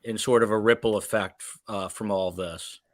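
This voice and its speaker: A man hosting a podcast, talking casually with panelists and reading out comments, sometimes in a halting, meandering way. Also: fairly low pitch, steady tone, no echo, clean studio sound. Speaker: podcast host.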